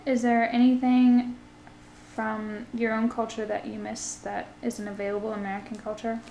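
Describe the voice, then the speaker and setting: A woman's voice: on the high side, flat delivery, normal speed, vocal fry, warm tone, reverberant, slight background noise, poor audio. A young woman asking interview questions casually and encouraging answers with mm-hmms.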